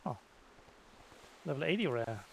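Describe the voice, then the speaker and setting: A man's voice: medium pitch, very animated, measured pace, vocal fry, a little hiss or hum, poor audio. A man giving casual, understated Let's Play commentary in offhand muttered remarks. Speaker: gaming video creator. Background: game music.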